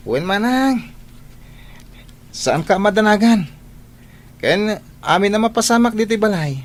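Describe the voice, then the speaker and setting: A man's voice: sits high, animated, takes it slowly, long pauses, slight background noise. A man with an Ilocano accent playing a respectful young student and younger brother in a radio drama. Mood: eager, reassuring.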